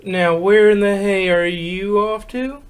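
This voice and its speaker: A man's voice: high-pitched, fairly even intonation, slow, smooth, voice pushed up. A man voicing a stubborn, irritable female farm pony, scolding with folksy rural phrasing in a fan story reading. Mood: stern.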